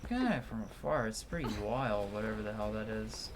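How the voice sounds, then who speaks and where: A man's voice: on the low side, animated, brisk, background noise. A man giving casual live commentary on a video game, rambling as he thinks aloud and reads out game text. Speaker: game streamer. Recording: game music behind the voice. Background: video game music.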